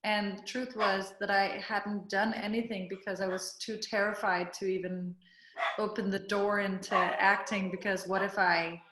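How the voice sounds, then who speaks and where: A Danish actress in her thirties, a guest in a casual interview, speaking with pauses and searching for words, laughing often. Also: mid-range voice, level tone, background noise. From Denmark. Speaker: guest actor. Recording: roomy echo.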